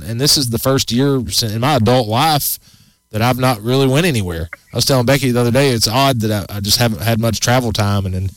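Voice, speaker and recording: A man's voice: mid-range voice, fairly bright tone, dry recording, poor audio. A man in his forties hosting a casual outdoors radio show, rambling and folksy with many restarts. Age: 40s.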